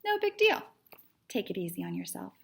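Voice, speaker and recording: A woman's voice: lowish voice, wildly swooping pitch, brisk. A woman voicing a stubborn, self-assured female storybook cat who talks in a matter-of-fact, condescending and dismissive way. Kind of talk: children's storybook character read aloud.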